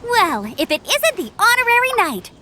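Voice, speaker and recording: A woman's voice: very high-pitched, sing-song, normal speed, background noise. A woman playing a perky, helpful young knight scout in a video game, bubbly and earnest in manner. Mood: cheerful, friendly. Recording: game music behind.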